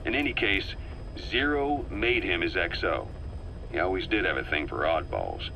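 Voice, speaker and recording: An actor playing a grave middle-aged intelligence operative in a video game, delivering a measured, deliberate, serious briefing. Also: male voice, deep voice, sing-song, vocal fry, bright, forceful tone, a little hiss or hum, exaggerated voice, low-quality recording. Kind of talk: dramatic scripted briefing narration.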